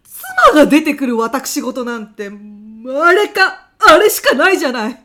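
A woman's voice: high voice, wildly swooping pitch, a little slow, background noise, full character voice. A woman playing a whimsical, gossip-hungry female personnel manager in a comedy radio drama, with a melodramatic delivery. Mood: disappointed. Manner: melodramatic, refers to herself by surname.

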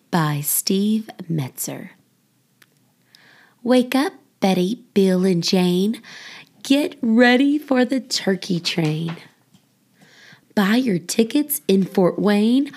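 A woman with a creaky voice, hosting and reading for a children's storytime podcast. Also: mid-range voice, darkish tone. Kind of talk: read-aloud children's story with casual intro and outro.

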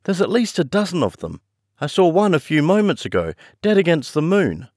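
A man doing a flighty young woman, gushing and breathless, her voice swooping up high and loud. The sound is dry and close. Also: very animated, a little fast, dry recording. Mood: urgent.

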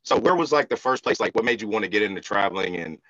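A man's voice: fairly low pitch, lively intonation, quick pace, bright, forceful tone, dry recording, highs cut off. A man hosting a podcast in casual, slangy, joking interview chat, teasing his guest informally. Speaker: podcast host.

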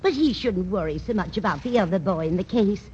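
A woman's voice: mid-range voice, animated, brisk, warm tone, close to an everyday voice. An actress playing a chatty, scatterbrained older landlady in a 1940s radio sitcom. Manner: chatty, dotty. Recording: lo-fi hissy old radio broadcast.

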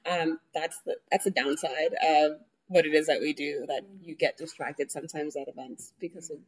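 A woman's voice: sits low, fairly even intonation, normal speed, slightly rough, rough recording. A young woman from New York City, chatting easily in an interview, laughing often and using plenty of fillers. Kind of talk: casual interview conversation.

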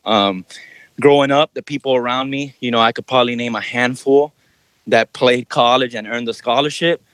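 A man's voice: medium pitch, moderate pace, a bit bright, clean tone, no echo. A young adult man from Miami, Florida, a basketball trainer, talking casually in a podcast interview and using a lot of "you know" fillers.

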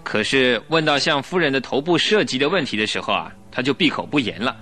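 A man's voice: mid-range voice, expressive, brisk, bright, forceful tone, faint music behind. An actor playing a cocky, insistent police inspector in a radio drama, emphatic and pressing as he questions. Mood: exasperated, insistent. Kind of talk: Chinese radio drama acting.

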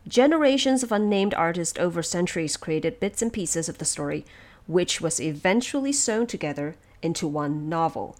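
A woman hosting an audio series, talking through prepared notes in a relaxed, measured, explanatory way and addressing her listeners directly. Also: fairly low pitch. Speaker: podcast host and narrator of production notes.

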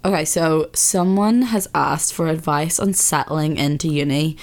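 Twenties female podcast host, slightly husky, low voice, casual and rambling. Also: unhurried. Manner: chatty, relaxed, lots of fillers. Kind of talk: casual chat. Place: her bedroom, sitting on bed.

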